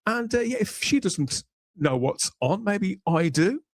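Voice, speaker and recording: A man's voice: high-pitched, sing-song, a little slow, dry recording. Chatty male podcast host, close dry sound. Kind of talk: casual chat, presenting and introducing panel guests.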